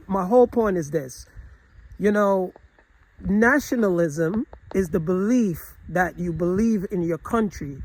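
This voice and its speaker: A man giving an opinionated, casual vlog monologue, earnest and rambling, often restarting his sentences with fillers. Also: high-pitched. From United States. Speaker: travel vlogger.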